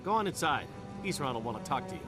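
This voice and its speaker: A man's voice: mid-range voice, very animated, quick pace, over music, close to an everyday voice. A man playing a frank, seasoned vampire hunter in a video game, wry and plain-spoken. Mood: wry, reflective. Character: frank, seasoned male vampire-hunter veteran at fort gate. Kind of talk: video game character acting.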